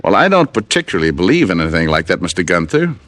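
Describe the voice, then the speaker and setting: An actor playing a wry, easygoing private detective in an old radio drama, narrating and talking in a dry, laid-back way. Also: male voice, sits low, big pitch swings, fast, a bit bright, no echo. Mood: dry, attentive. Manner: dry, laid-back first-person storytelling. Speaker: actor in the lead role and narrator. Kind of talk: 1940s-50s radio detective drama acting. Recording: old radio recording with music behind.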